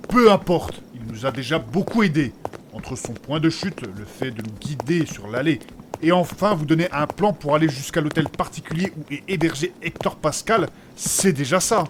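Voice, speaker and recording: A man's voice: on the high side, lively intonation, moderate pace, background noise, close to an everyday voice. A man playing a prim, polite, pious adventurer who is easily flustered, formal and fussy, in a comic fantasy audio drama. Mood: earnest.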